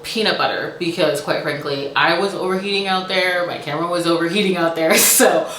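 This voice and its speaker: Low-pitched woman chatting about books. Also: fairly even intonation, brisk, a bit bright, roomy sound, slight background noise, low-quality recording. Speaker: book reviewer vlogger. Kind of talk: casual book review chat to camera.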